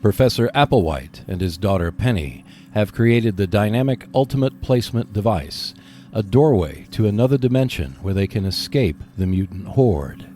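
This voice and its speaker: A man's voice: on the low side, expressive, moderate pace, dark tone. A man hosting a podcast, reading scripted narration.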